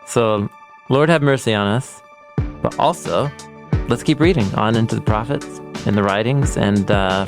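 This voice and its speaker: A man co-hosting a podcast, talking through ideas in a relaxed, thoughtful way and joking at his own rhymes. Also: medium pitch. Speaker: podcast co-host, Bible scholar. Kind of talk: casual reflective conversation.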